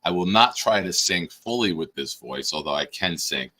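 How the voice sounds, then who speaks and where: A man hosting a casual live-stream show, hoarse, teasing and bossing his guest around with sudden loud bursts. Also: low voice, animated, moderate pace. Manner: teasing, bossy banter with sudden loud outbursts. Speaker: podcast host.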